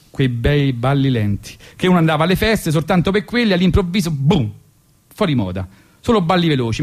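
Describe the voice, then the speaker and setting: Wry Roman man telling colloquial stories. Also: fairly high pitch. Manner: colloquial, wry, emphatic with repetitions. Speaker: radio storyteller and host. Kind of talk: ironic spoken monologue, storytelling. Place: radio studio.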